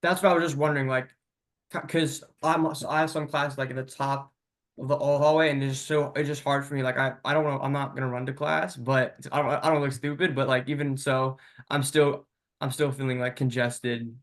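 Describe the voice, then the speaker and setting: Male student, teens, hesitant, says "like" a lot, even-toned. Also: mid-range voice, a little fast. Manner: hesitant, rambling, full of "like". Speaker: student asking a question. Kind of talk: casual questioning on a video call.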